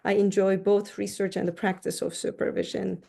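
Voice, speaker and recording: Gravelly, mellow-voiced woman from Turkey. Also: medium pitch, flat delivery, normal speed. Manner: thoughtful, restarts words often. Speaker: counseling professor, guest. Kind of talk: interview answers.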